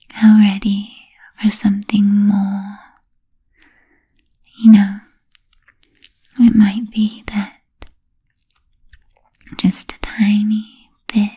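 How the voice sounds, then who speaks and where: Woman as a slow whispering, seductive vampire. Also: mid-range voice, heavily acted. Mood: teasing, self-satisfied. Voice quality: soft breathy whisper. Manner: slow, gentle, coaxing, with lingering pauses. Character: vain, seductive, politely teasing female vampire hypnotist. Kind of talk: whispered ASMR roleplay.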